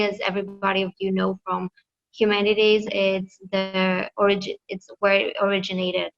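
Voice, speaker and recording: A woman's voice: medium pitch, fairly bright tone, muffled recording. Hesitant woman. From Greece. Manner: hesitant, many fillers and restarts. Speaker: student presenter. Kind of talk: class presentation.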